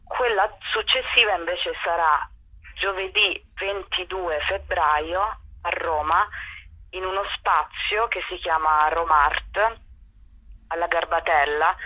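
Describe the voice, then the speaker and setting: A woman giving a radio interview as a guest over the telephone, hesitant and searching for words. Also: deep voice. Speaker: theatre performer interviewed as guest. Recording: dull lo-fi telephone line.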